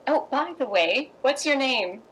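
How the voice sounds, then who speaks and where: Woman playing a worldly playwright's wife, fairly high. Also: expressive, measured pace, a bit bright, slight background noise, rough recording. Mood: friendly, brisk. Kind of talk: 1950s radio drama acting.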